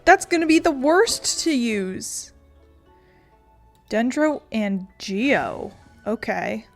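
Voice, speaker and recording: A woman's voice: a little high, sing-song, drawn-out words, soft background music. A woman streamer giving casual, chatty gameplay commentary, swearing and talking to herself.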